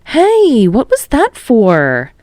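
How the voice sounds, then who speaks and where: A woman's voice: on the high side, huge pitch swings, extremely slow, a little mellow, no room sound, pitched above the performer's own voice, full character voice. A woman puts on a good-natured teenage boy for an audiobook. He sounds casual and a bit sheepish, in a close, dry recording. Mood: startled protest. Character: easygoing, sheepish teenage boy struggling with math. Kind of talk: acted dialogue in fan fiction audiobook.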